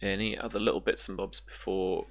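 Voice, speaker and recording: Very low-pitched, bright-voiced game master. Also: fairly even intonation, fairly quick, creaky. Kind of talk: improvised tabletop game narration.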